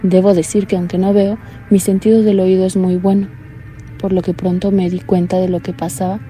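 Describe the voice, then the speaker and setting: An actress playing a blind young woman calling in to tell a frightening story, talking in a low, earnest, uneasy way. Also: little pitch movement, fairly quick, dark tone, soft background music, natural voice. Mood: anxious. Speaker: caller. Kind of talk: radio drama acting.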